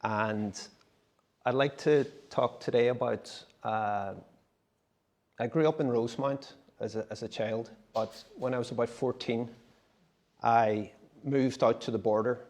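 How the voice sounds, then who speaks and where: A man's voice: mid-range voice, steady tone, a little slow, darkish tone. A man, a writer giving a conversational talk at a symposium. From Derry, Northern Ireland. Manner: conversational, explanatory, occasional restarts. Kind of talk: casual presentation. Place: symposium talk in a gallery space.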